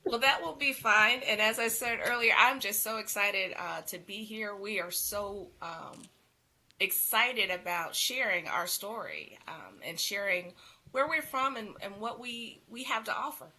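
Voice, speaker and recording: A woman's voice: mid-range voice, lively intonation, a little slow, pushed and bright, low-quality recording. A woman from South Carolina speaking politely and conversationally as a guest on a talk show. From South Carolina, United States. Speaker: guest, minister and special education teacher.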